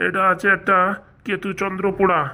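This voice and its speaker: A woman's voice: mid-range voice, monotone, brisk, sharp tone, close to an everyday voice. A woman playing a fussy, muddled male astrologer priest in a comic cartoon, muttering and repeating himself. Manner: muttering, repetitive, easily muddled.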